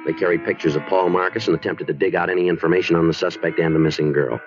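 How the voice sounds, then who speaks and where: Low, clipped, deadpan police detective. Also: male voice, quick pace. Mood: matter-of-fact. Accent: American. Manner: deadpan, clipped, matter-of-fact. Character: terse, businesslike male police detective narrating his case. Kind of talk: 1950s radio police drama, scripted narration. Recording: muffled old radio recording, music behind.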